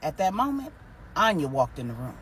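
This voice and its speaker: Female storyteller, lowish register, animated swings in pitch, informal delivery, slight background noise. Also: fairly quick. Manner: colloquial, informal wording. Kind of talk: dramatic storytelling with character dialogue.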